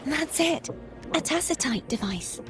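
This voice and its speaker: A woman voicing a composed young female companion in a fantasy adventure game, speaking in a measured, serious way. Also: high voice, lively intonation, over music. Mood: focused. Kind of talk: video game voice acting.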